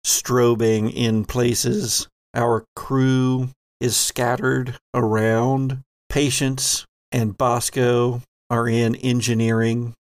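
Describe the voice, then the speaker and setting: Slow, measured male horror game master. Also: mid-range voice, clear, resonant tone, no room sound, clean studio sound. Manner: measured, theatrical. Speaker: game master and podcast host. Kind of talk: dramatic scripted narration of a tabletop horror game.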